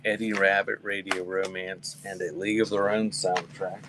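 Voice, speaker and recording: Male live auction seller, low voice, wry and rambling, casual delivery, noisy background. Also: little pitch movement, moderate pace. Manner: wry, rambling, joking with chat. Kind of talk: casual chat while reading out album titles for a live sale. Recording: clear background noise.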